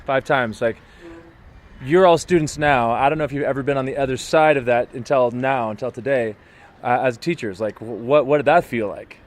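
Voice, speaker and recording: A man's voice: medium pitch, normal speed. A man hosting a casual on-location interview outdoors, speaking as an informal, encouraging teacher. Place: outdoors in a city.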